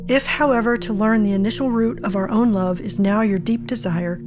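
A woman's voice: medium pitch, moderate pace, over music, lightly acted. A woman playing a determined, defiant character in an audio drama. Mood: curious, intent. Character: determined, defiant woman breaking free of illusion.

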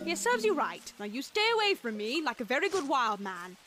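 A prim young British lady from a cartoon, acted by a woman, very high and swooping. She is flustered and breathless, stammering her way through proper, chatty sentences over prominent music. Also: very animated, brisk. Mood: indignant. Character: flustered, prim young Victorian Englishwoman lost in jungle. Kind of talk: animated film fan dub acting.

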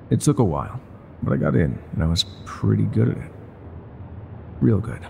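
An actor playing a weary, regretful older ex-mafia bar owner in an intimate, brooding roleplay monologue. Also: male voice, sits low, creaky, darkish tone, a little hiss or hum, exaggerated voice. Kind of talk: intimate scripted roleplay monologue, ASMR audio drama.

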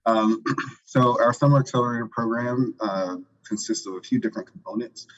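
A man presenting his program's results at a conference in a relaxed way, with many fillers and quick asides. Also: mid-range voice. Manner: relaxed, filler-heavy, skipping ahead for time. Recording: muffled audio in a reverberant hall.

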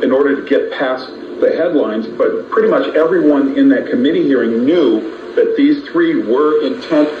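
A man's voice: medium pitch, normal speed, a little hiss or hum. Man, dull echoey radio sound. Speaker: interviewed commentator. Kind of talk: conversational political analysis.

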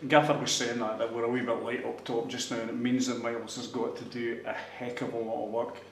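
Man, club TV interviewer, chatty questions, mid-pitched voice, echoey room, faint hum. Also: flat delivery, a little fast, gravelly. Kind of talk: post-match interview questions.

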